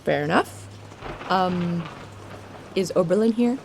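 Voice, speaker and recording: A woman's voice: lowish voice, takes it slowly, creaky, darkish tone, a little hiss or hum, poor audio. An actress playing a determined young woman, polite but urgently insistent, in an audio drama. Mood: polite, tentative. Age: young adult. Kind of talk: full-cast audio drama acting.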